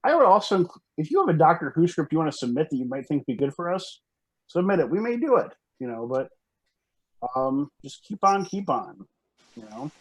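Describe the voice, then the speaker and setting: A man's voice: fairly high pitch, big pitch swings, fairly quick, slightly rough, poor audio. A man talking casually on a group video call, hesitant and rambling, with bursts of emphasis. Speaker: panelist, organizer of fan script readings.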